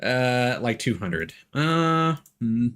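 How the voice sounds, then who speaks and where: A man's voice: medium pitch, very animated, extremely slow, fairly bright tone. A man streaming a video game, commenting casually and playfully, thinking aloud and reading out prompts. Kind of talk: casual live gameplay commentary.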